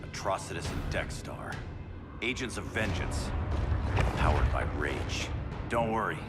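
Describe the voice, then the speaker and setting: Heroic game superhero, low voice, wry and earnest, loud music behind him. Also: male voice, lively intonation, measured pace. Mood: guarded, confident. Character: heroic, wisecracking male space cop superhero. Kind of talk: video game cutscene acting.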